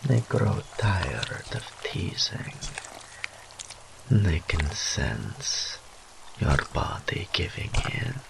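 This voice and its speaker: A man playing a seductive, teasing, dominant demon incubus in an intimate audio roleplay, breathy and often whispering, drawing out his taunts. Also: low-pitched, sing-song, noisy background, heavily acted. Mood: seductive, taunting. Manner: slow, drawn-out, mock-sympathetic purring taunts.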